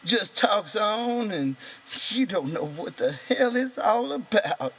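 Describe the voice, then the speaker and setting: A man's voice: high-pitched, animated, takes it slowly, a bit bright, slight background noise, pitched above the performer's own voice, exaggerated voice. A man voicing a proud, bitter, lonely stable hand in an audiobook, talking in an insistent, rambling colloquial way. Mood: probing. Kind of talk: character voice in an audiobook reading.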